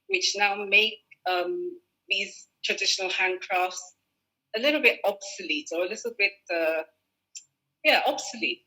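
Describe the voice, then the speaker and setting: A woman designer talks through her work on a video call, pausing to find words and restarting her sentences. Her voice is bright and clear, at a middle pitch. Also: rough recording. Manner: thoughtful, explains with restarts and fillers. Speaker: fashion designer guest. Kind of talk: relaxed conversational interview.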